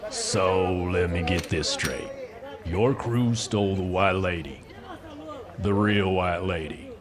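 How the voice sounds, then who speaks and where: A man playing a skeptical, low-key underworld dealer in a fantasy audio drama. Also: slow pace. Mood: skeptical, guarded. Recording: tavern crowd murmur behind. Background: busy tavern chatter.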